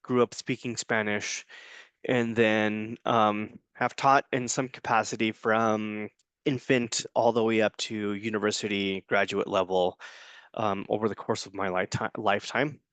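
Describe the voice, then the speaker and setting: An adjunct professor, a man, giving a loose and hesitant spoken introduction to his course, filling pauses with ums and restarting his sentences. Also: lowish voice, level tone, a bit bright, clear, resonant tone, no room sound. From United States.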